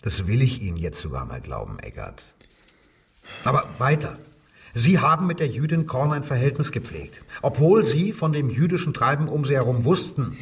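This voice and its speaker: Husky, menacing police interrogator. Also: male voice, medium pitch, very animated, normal speed, distant mic, exaggerated voice. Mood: stern, pressing. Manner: sardonic, probing, controlled, commanding. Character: menacing Nazi police commissioner, coldly mocking interrogator. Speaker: actor. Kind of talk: German radio crime drama acting.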